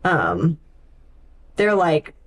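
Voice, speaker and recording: A woman's voice: low voice, sing-song, drawn-out words. A woman co-hosting a podcast, discussing books informally and thinking aloud as she goes. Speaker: podcast co-host. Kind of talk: casual book discussion.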